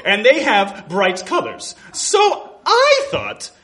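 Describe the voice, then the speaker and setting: Man doing a high, bossy, dim dodo. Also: wildly swooping pitch, slow, pushed and bright, exaggerated voice. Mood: smug, clueless. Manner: exaggerated, repetitive delivery, breaking into laughter. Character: bossy, dim male dodo brainstorming survival ideas. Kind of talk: comedy sketch reading, like a radio play.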